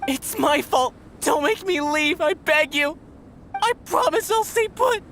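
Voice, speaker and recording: Shivering, panicky adventurer. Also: male voice, very high-pitched, expressive, unhurried, fairly bright tone, full character voice. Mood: panicked pleading. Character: frightened, freezing male adventurer, pleading and hapless. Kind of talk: video game voice acting. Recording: clear background noise.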